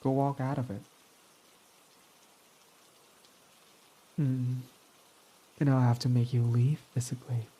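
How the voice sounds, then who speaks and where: A man's voice: mid-range voice, flat delivery, normal speed, dark tone, husky, natural voice. A young man voicing a brooding, foul-mouthed villain who is guarded but devoted, speaking in an intimate, confessional way close to the mic. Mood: defiant. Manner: intimate and confessional, swearing freely. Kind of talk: ASMR roleplay audio drama.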